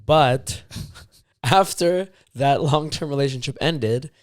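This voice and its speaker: A man's voice: mid-range voice, takes it slowly, dry recording. A young man from Canada co-hosting a podcast, talking loosely with lots of fillers and casual swearing. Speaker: podcast co-host. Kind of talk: casual chat.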